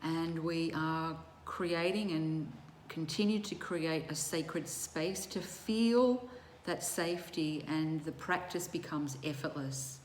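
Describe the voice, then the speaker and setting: Female yoga nidra teacher, lowish voice, very unhurried and soothing, recorded in an echoey room. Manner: measured, soothing, with long pauses between short phrases. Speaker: yoga teacher leading guided relaxation. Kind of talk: guided meditation instruction. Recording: roomy echo with faint background noise.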